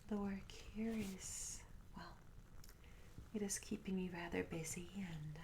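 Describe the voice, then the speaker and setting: A charming young tavern maid, acted by a woman in a fantasy ASMR roleplay. She speaks in a soft, breathy half-whisper, courteous and coy, with gentle storybook phrasing. The mic is close, with clear noise and small clicks around the voice. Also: lowish voice, unhurried. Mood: coy, curious. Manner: gentle, polite, old-fashioned storybook phrasing. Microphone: close ASMR microphone.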